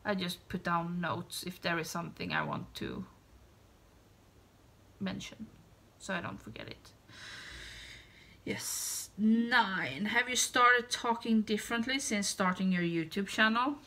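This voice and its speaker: A woman with a Swedish accent, Swedish being her first language, talking casually to camera. Her light, bright voice moves at an unhurried pace. She rambles and hesitates, often trailing off into a soft hush. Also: medium pitch, very animated, a little hiss or hum. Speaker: beauty YouTuber. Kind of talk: casual tag video chat.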